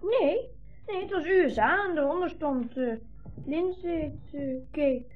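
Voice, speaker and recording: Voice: very high-pitched, measured pace. A performer playing a timid, earnest young refugee boy in a 1960s radio play. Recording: muffled old radio recording, narrow band.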